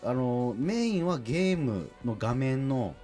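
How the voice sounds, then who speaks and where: A man's voice: mid-range voice, big pitch swings, slow, mellow, faint music behind. A man hosting a casual radio interview, nodding along often and asking probing questions. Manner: frequent backchannels, probing questions. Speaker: radio show host.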